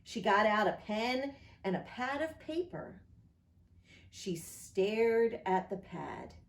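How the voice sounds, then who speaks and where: A woman reads a children's story aloud with expressive, very varied intonation. She takes her time, and the room around her is audibly echoey. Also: medium pitch, takes it slowly, gravelly. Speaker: pastor reading a children's story. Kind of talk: picture book read aloud.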